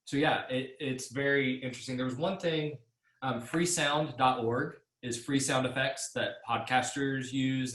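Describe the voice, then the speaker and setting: A man with an average-pitched voice moderates casually over a video call. The sound is noticeably roomy, as from a computer mic at desk distance. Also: a bit bright. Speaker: session moderator. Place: home room, indoors. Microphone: computer microphone at desk distance on video call.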